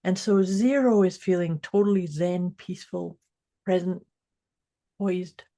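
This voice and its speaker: Female podcast co-host, mellow tone, warm encouraging chat. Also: lowish voice, fairly even intonation, a little slow. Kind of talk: casual chat.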